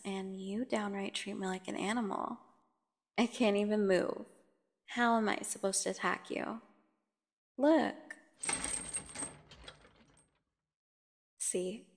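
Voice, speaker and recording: A woman playing a captive female vampire in an ASMR audio drama, seductive, taunting and menacing, telling her tale with teasing pauses and dropping at times to a near whisper. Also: mid-range voice, vocal fry. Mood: coaxing, mocking, then coaxing, seductive. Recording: long hall-like reverb.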